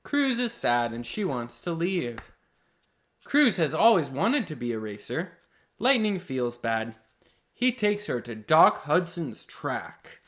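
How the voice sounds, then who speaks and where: A man reads picture books aloud for children as a host. His voice sits a little high and moves with lots of melodic variety, and he takes the story slowly, with friendly asides to listeners. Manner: slow, simple, expressive reading for children.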